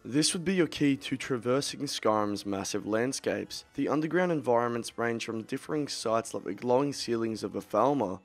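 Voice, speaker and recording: A man presenting a scripted game showcase video, narrating in a measured, ominous storytelling manner. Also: medium pitch.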